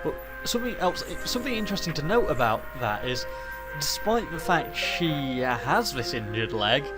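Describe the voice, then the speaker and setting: A man's voice: fairly high pitch, big pitch swings, normal speed, loud music behind the voice, rough recording. A man with a cold hosting a casual let's play, talking his thoughts through in a hesitant, rambling way with many restarts. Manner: rambling, hesitant, self-correcting. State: has a cold. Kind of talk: casual gameplay commentary.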